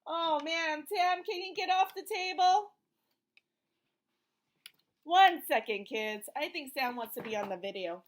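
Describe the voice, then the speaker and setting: A woman reads a picture book to small children in a high voice that swings up and down. She takes her time, stretching out short phrases with sing-song emphasis. She puts questions to her young listeners and praises them like a preschool teacher. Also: animated, a little slow, long pauses. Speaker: children's read-aloud host, teacher-like.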